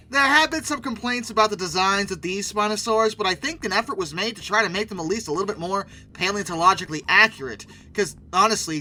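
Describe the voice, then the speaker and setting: A man's voice: sits high, pushed and bright. A man giving wry, sarcastic film commentary as the host of a video channel, leaning emphatically on words.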